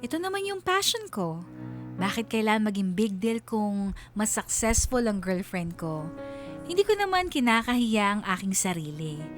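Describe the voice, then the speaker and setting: A woman's voice: mid-range voice, big pitch swings, normal speed, faint music behind. A woman with a Filipino accent reading a scripted first-person story as dramatic narration.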